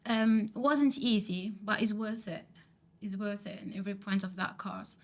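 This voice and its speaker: A woman whose first language is Arabic speaks in English at a middling pitch. She pauses, restarts and gropes for words as she answers questions on a podcast. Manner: halting, with frequent restarts and searching for words. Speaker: student teacher.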